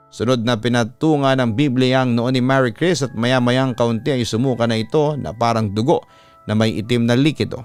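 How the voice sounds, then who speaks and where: Clear-voiced man narrating horror stories. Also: medium pitch. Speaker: radio announcer and storyteller. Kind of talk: dramatic first-person horror story narration.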